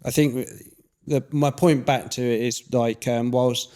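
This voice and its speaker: A man hosts a relaxed chat. He talks in a rambling, easygoing way and piles one thought onto the next. His voice is mid-pitched and ordinary. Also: measured pace. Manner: rambling, chatty, many fillers and restarts. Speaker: podcast host. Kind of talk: casual interview chat.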